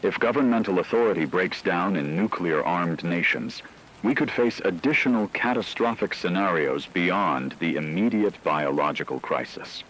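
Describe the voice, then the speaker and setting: A man playing a calm, grave radio news anchor, reading a scripted report in a measured, formal, factual way. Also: low-pitched, a little hiss or hum, low-quality recording.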